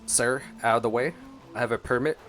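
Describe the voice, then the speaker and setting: A man giving casual, expressive gameplay commentary, talking to himself playfully as he plays. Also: mid-range voice, a little fast. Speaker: gaming streamer. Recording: game music behind voice. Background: video game music.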